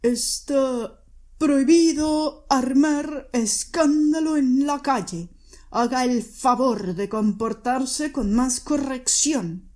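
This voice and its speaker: Woman doing a calm stern male official. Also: high-pitched, voice pushed up. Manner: slow, measured, hushed.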